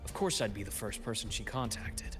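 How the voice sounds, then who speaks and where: A man playing a composed, shrewd investigator in a video game, speaking in measured, formal and deliberate phrases over music. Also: on the low side, animated, close to an everyday voice. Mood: calm, knowing. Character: composed, shrewd male investigator, calmly analytical. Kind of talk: scripted video game voice acting.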